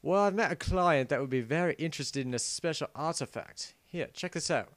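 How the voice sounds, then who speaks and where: Man playing a blithe, scheming thief, slightly high-voiced. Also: brisk, fairly bright tone, no room sound, natural voice. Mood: breezy, scheming. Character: cheery, scheming male thief pitching heists. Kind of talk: amateur parody game dub.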